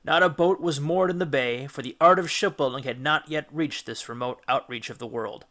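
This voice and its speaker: Bright, forceful male storyteller. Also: a little high. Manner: expressive, deliberate storytelling. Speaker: audiobook reader, storyteller. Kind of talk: dramatic scripted narration of children's classic.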